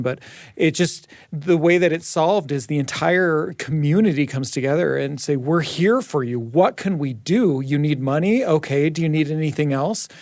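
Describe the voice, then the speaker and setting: Male panelist, conversational and reflective, with vocal fry and a dry studio sound. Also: on the high side, dry recording. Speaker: radio show panelist. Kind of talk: casual reflective discussion. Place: radio studio.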